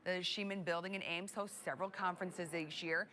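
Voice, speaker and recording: A woman's voice: fairly low pitch, fairly even intonation, a little fast, sharp tone. A woman with an American accent reading scripted television news as an anchor in a studio.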